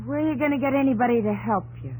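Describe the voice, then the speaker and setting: An actress playing a fearful, worn-out young American pioneer wife, plaintive and emotional, in an old radio drama. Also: female voice, a little high, racing, a little mellow, a little hiss or hum, close to an everyday voice, low-quality recording. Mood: despairing, weary. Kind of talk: 1950s radio drama acting.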